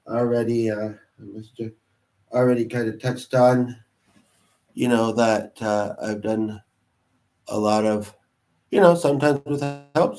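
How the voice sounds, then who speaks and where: A man who is sick and congested, chatting alone on a livestream in a halting way, full of pauses and restarts. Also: medium pitch, a little mellow, recorded from a distance. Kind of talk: rambling solo livestream chat. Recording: roomy sound from off-mic.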